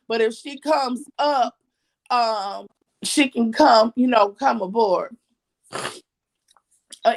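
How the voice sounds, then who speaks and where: Woman host, raspy and high-pitched, chatty and repetitive with her audience. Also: rough recording. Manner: chatty, repeats herself, reads viewer comments aloud. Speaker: livestream host. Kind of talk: casual livestream talk.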